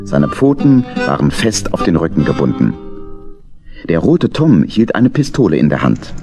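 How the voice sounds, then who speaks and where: A man's voice: deep voice, very animated, normal speed, music in the background. A man narrating a children's adventure audio play in a scripted storytelling style.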